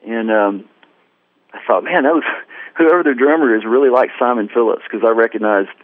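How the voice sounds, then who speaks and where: A man's voice: mid-range voice, fairly quick, dry recording. A man tells a long story on a tinny phone line, rambling and self-deprecating. He acts out what people said along the way. The sound is dry and close. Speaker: guest musician. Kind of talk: casual storytelling interview.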